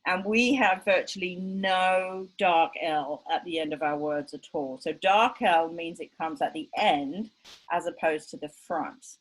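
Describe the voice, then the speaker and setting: Woman, Estuary English accent, slightly low pitch; casual, self-mocking lecturer on a video call. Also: measured pace, low-quality recording. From England. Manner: chatty, self-deprecating, with many fillers. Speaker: linguistics lecturer. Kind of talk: online lecture.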